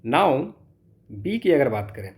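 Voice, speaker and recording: Male tutor, Indian accent, mid-range pitch. Explains problems step by step, asking and answering his own questions. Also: big pitch swings, takes it slowly, a little mellow. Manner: thinks aloud, poses questions and answers them himself. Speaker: physics tutor. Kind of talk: step-by-step exam problem lesson, mixing Hindi and English.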